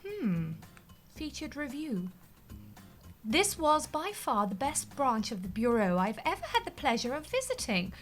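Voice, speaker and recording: An actress with a British accent playing an earnest, gullible, excitable manager of an office in an audio comedy. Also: female voice, high voice, measured pace, faint music behind. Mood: moved.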